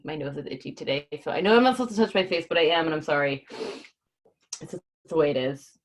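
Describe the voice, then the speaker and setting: Woman w a low voice doing a kids book read-aloud on a livestream, chatty and informal, keeps it simple. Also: fast. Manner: informal, explains hard words simply for children. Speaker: host reading a children's book on a livestream.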